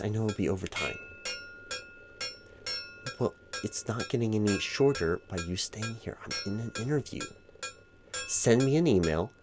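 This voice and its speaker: Man playing a low-voiced, flustered corporate hiring manager. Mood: flustered, exasperated. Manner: wordy corporate formality with stammering repetitions. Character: distracted, flustered male corporate hiring manager interviewing a candidate. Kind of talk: comedic one-sided ASMR-style roleplay, spoken aloud.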